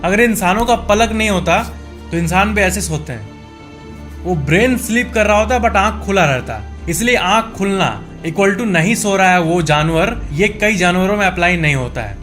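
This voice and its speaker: Male facts presenter, high-pitched, conversational explainer delivery addressed straight to the viewer, background music. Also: a bit bright. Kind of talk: informal explanatory voice-over narration.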